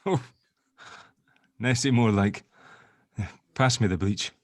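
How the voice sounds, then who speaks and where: A man's voice: medium pitch, takes it slowly, dry recording, clean studio sound. An actor playing a nervous, guilt-stricken man who stammers and swears in a dramatic script read-through. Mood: teasing.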